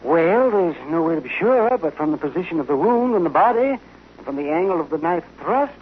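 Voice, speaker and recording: A man's voice: on the high side, big pitch swings, a little fast, slight background noise. An American man acting a crusty, long-winded old town doctor in an old radio western. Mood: wry, hedging. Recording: thin, telephone-like vintage radio sound.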